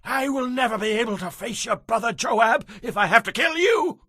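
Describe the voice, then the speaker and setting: A man playing an ancient army commander in an audio Bible drama, loud, urgent and declamatory. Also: extremely high pitch, expressive, moderate pace, no echo, studio quality, exaggerated voice. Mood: urgent warning. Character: ancient army commander, forceful, exasperated battlefield shouter.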